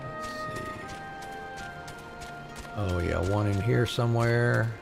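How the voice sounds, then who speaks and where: A man streams a game with casual, offhand commentary. His voice is middling in pitch and creaky, with faint music behind it. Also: slow.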